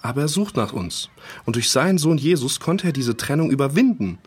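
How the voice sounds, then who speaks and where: A man playing a gentle, earnest foster father with a husky voice in a children's audio drama. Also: medium pitch, very animated, a little fast. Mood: earnest, comforting. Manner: earnest, explanatory.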